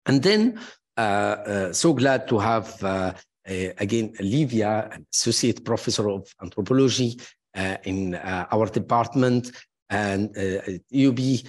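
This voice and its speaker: Halting man introducing academic speakers. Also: fairly low pitch, expressive. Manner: halting, many fillers and restarts; warmly praising, personal asides. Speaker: panel moderator introducing speakers.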